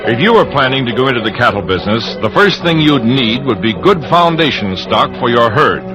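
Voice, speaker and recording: An American man narrates in old-time radio announcer style. His middle-pitched voice moves with lively inflection over clearly heard music. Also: fairly quick, poor audio.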